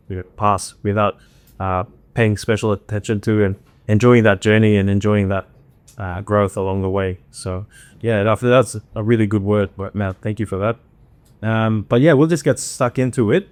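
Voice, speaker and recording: A man's voice: on the low side, a little mellow, clean tone, no room sound. A man hosting a podcast, friendly and affirming, with casual slang. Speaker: podcast host. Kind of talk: casual interview chat.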